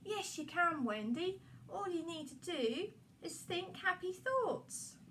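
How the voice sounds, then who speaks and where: Woman playing an excitable high-pitched adventurous boy. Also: slow, far from the mic, slight background noise, exaggerated voice. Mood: excited, encouraging. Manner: excitable, whooping, encouraging. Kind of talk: children's puppet-show storytelling.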